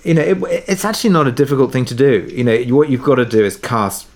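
A man's voice: mid-range voice, lively intonation, quick pace. A man giving reflective, somewhat hesitant answers as a guest in a conversational interview. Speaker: interview guest, audio drama producer.

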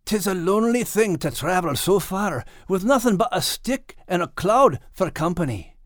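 A man's voice: high-pitched, animated, moderate pace, no room sound, studio quality, pitched above the performer's own voice. A man voicing a plucky, good-natured dog adventurer in an audiobook, with a Scottish accent and an earnest, folksy way of exclaiming. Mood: lonely, weary.

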